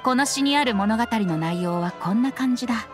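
A playful teenage bard boy from an anime game, acted by a woman. He speaks in a high, youthful voice with a carefree, breezy manner, over faint music. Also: lively intonation, normal speed, natural voice. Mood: amused. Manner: breezy, playful, self-admiring. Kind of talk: anime game dialogue acting.

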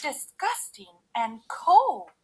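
Brash, greedy little storybook girl, played by a woman: high, theatrical, stretched-out complaints. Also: sing-song, fairly bright tone, full character voice. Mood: disgusted, alarmed. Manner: dramatic exclamations, drawn-out complaints.

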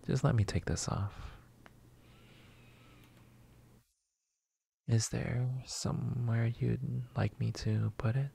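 A man acting a shy, polite, flustered neighbor in a roleplay ASMR, hesitant, apologetic and breathy. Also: fairly low pitch, exaggerated voice. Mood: grateful. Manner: hesitant, soft-spoken, apologetic, breathy sighs and whispers.

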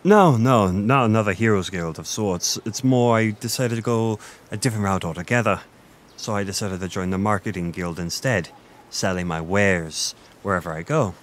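A man playing a flirty, gentle Irish innkeeper in an intimate, conversational roleplay audio, with a teasing, self-deprecating manner. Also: fairly low pitch, moderate pace, slight background noise, close to an everyday voice. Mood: friendly, reminiscing.

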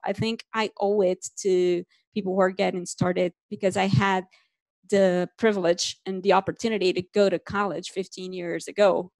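A woman's voice: medium pitch, vocal fry, dry recording. A woman in her thirties from Brazil, speaking English with a Brazilian Portuguese accent as a panelist on a video call, earnest and reflective, using a headset mic in a small home room. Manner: earnest, reflective, lightly self-joking. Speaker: panelist, podcaster.

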